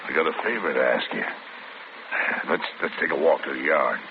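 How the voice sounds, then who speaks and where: An actor playing a scheming older male convict who bullies and threatens a younger inmate in a radio drama. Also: fairly quick. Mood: coaxing. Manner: low, bullying, coaxing then threatening. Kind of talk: 1940s radio drama acting. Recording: tinny old radio broadcast with hiss.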